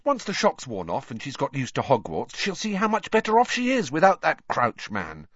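A man's voice: a little high, huge pitch swings, moderate pace, a bit bright, slightly rough, highs cut off, voice pushed up, heavily acted. A man voicing a sensible, firm teenage schoolgirl with a matter-of-fact manner.

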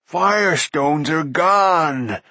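Wailing, whimpering shaggy creature. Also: male voice, a little high, drawn-out words, bright, forceful tone, dry recording, pitched above the performer's own voice, exaggerated voice. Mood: wretched, moaning. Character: shaggy, whimpering, miserable creature speaking of himself in third person.

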